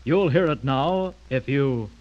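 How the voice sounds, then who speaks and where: A man's voice: mid-range voice, lively intonation, slow. A man announcing a radio program in a dramatic old-time style. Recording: muffled old radio recording.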